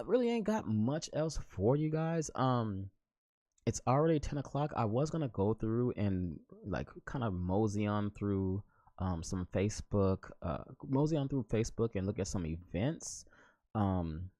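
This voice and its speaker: An American man in his thirties hosts a podcast alone, his voice low and relaxed. He rambles casually, circling back on his words with "like" and "you know" and slipping into "ain't." The sound is dry and close. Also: moderate pace, dry recording. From United States. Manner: rambling, with many restarts and "like" fillers; informal, drops into "ain't" slang. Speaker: podcast host. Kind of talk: casual solo podcast monologue. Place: home, living in Korea.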